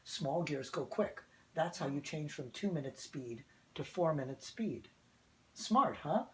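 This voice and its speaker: A man presenting antiques in a casual, excited show-and-tell, chatty and quick to giggle. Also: fairly high pitch, poor audio. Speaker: museum presenter showing antiques. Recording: clear background noise, clicking.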